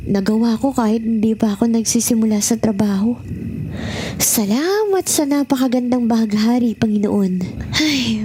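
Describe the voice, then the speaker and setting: Woman as a mellow, high-voiced, earnest young employee. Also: slight background noise, close to an everyday voice. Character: grateful, humble, eager young female new employee. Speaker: audio drama actor. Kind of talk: audio drama acting.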